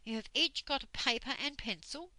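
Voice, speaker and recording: A stern, fierce old schoolmistress barks orders in a sharp, commanding voice, as a woman reading a storybook puts her on. The tone is bright and hard-edged, and the sound is dry and close. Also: sits high, lively intonation, moderate pace, no echo, voice pushed up, full character voice, poor audio.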